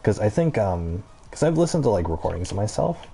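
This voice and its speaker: A man with a very low, dark voice talks casually while playing a game. His delivery is rambling and halting, often circling back to restate a thought. There is faint noise in the recording. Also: sing-song, vocal fry. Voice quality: low-pitched voice. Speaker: gaming video creator. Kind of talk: casual gameplay commentary, rambling chat.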